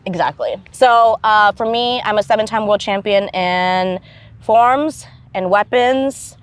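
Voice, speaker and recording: A woman in her thirties with Vietnamese as her first language, talking casually as an interview guest. Her pitch sits in a middle register, and the dry, close recording has little room sound. She jokes at her own expense and fills pauses with ums and laughs. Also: clear, resonant tone, no room sound. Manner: self-deprecating and jokey, with frequent fillers and laughs. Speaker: guest, stunt performer and martial artist.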